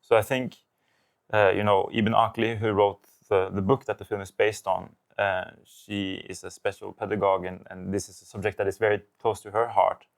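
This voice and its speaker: Low-voiced reflective Norwegian-born man. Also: little pitch movement, normal speed, vocal fry. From Norway. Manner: thoughtful, many fillers and restarts. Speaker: film director. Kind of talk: interview answers.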